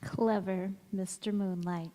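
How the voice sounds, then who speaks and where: A woman acting a mysterious, wistful young stranger in a stage radio drama, speaking in a gentle, cryptic way. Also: medium pitch, steady tone, takes it slowly, natural voice. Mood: tender. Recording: echoey hall with long reverb.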